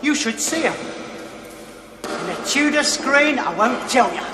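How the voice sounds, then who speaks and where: A man's voice: very high-pitched, lively intonation, measured pace. An actor playing a cocky, eager young male recruit, boastful and given to barking like a drill instructor. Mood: boastful, excited. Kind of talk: theatrical television stage-play acting. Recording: echoey hall, noisy old TV tape.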